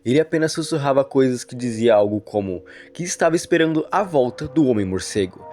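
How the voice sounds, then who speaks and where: A man's voice: medium pitch, lively intonation, fairly quick, dark tone, faint music behind. A man with a Brazilian accent narrating an explanatory video analysis in a wordy, explainer manner.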